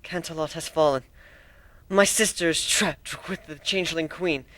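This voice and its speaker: A man's voice: fairly high pitch, normal speed, fairly bright tone, no echo, voice pushed up, natural voice. Man voicing a weary, regal pony princess. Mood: weary, grave. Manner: weary, formal. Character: exhausted, defeated pony princess, grave and regal.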